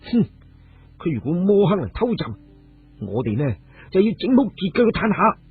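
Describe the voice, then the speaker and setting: Bold young male warrior acted by a man for radio story: combative, raspy, higher-pitched, faint music. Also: big pitch swings, moderate pace, exaggerated voice. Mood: defiant. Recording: muffled phone-like radio audio, music behind.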